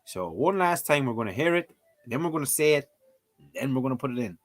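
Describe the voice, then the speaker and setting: A man's voice: medium pitch, big pitch swings, fairly quick. A man casually commenting on a livestream as he works through a language lesson, joking and throwing in mock exclamations. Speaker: streamer learning a language. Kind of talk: casual live-stream commentary.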